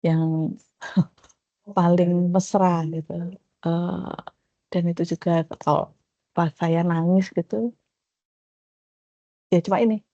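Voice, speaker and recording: A woman, reflecting on her doctoral journey in a personal talk to a research group, with many pauses and fillers. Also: lowish voice, steady tone, mellow, dry recording. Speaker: doctoral graduate sharing her experience. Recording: dull lo-fi call audio.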